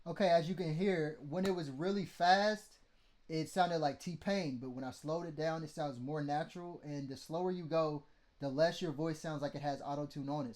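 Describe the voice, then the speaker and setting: Mid-range male tutorial host, slightly high, laid-back and profane. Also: a little mellow. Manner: loose and blunt, swears freely, lots of "like" and "okay?". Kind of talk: casual how-to teaching.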